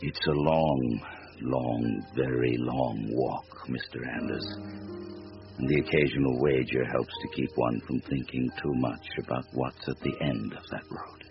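A gravelly, bottomless-voiced devil. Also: male voice, steady tone, full character voice. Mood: weary, musing. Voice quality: very deep, gravelly. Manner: unhurried, philosophical, world-weary. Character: Satan as a weary, courteous, wager-making old stranger. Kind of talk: radio drama acting. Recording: dull lo-fi audio with music behind.

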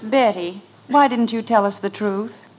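A woman playing a patient, reasonable middle-aged wife and mother in a 1950s radio comedy, gentle and measured. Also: medium pitch, highs cut off, a crowd in the background, natural voice. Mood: gently reasoning. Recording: noisy, crackly old radio recording.

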